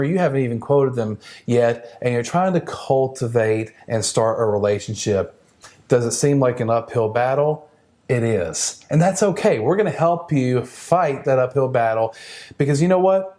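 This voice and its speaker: A man's voice: mid-range voice, darkish tone. Encouraging male sales coach, mid-range voice. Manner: encouraging, emphatic, addresses listener directly. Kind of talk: team training session, conversational instruction.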